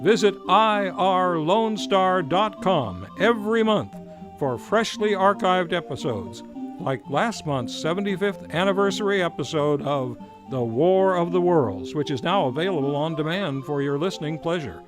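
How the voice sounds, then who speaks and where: A man's voice: high voice, animated, measured pace. A man announcing a scripted old-time radio show in a formal, showman-like manner. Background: music.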